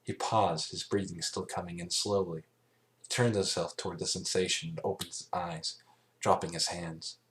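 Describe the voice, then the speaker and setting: A man narrating scripted fan fiction as an amateur reader, halting and stumbling over phrases now and then. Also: sits low, level tone, fairly bright tone, rough recording.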